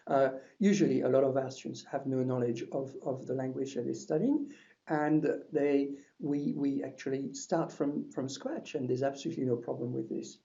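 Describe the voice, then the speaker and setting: A man's voice: mid-range voice, steady tone, normal speed, vocal fry, dark tone, highs cut off. A man with a French accent, a university French lecturer giving a webinar presentation, talking with frequent hesitations and restarts. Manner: hesitant, many restarts and fillers.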